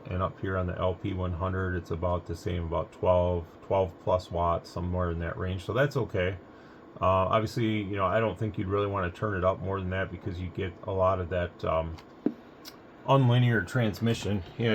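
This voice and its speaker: A man's voice: sits low, a little hiss or hum. A man from the United States giving a casual, rambling hands-on product demonstration as an equipment reviewer, recorded at home on a phone camera. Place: home room with radio test equipment.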